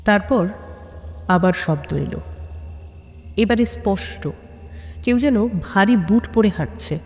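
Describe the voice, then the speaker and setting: A woman's voice: medium pitch, lively intonation, takes it slowly, a little mellow, long reverb tail. A woman reading a scripted suspense story as narrator, with a dramatic, suspenseful delivery.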